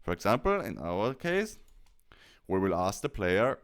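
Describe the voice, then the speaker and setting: A man with a fairly low, clean and resonant voice narrates a software tutorial. He explains each step in turn, sometimes stopping to rephrase himself. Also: big pitch swings, measured pace, smooth. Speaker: tutorial presenter.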